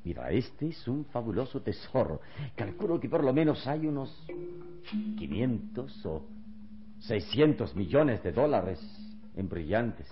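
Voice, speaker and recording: A man playing a serene, wise adventure hero in a vintage radio serial, speaking with calm, deliberate reassurance. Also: mid-range voice, over music. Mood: admiring, serene. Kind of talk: vintage Spanish-language radio serial acting. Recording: dull, lo-fi old radio serial recording.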